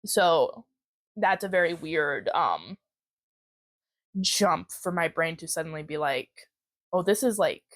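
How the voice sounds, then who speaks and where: A woman's voice: sits low, expressive, takes it slowly, pauses often, fairly bright tone, dry recording, clean studio sound. Chatty, giggly young woman podcaster. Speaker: podcast host. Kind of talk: casual chat.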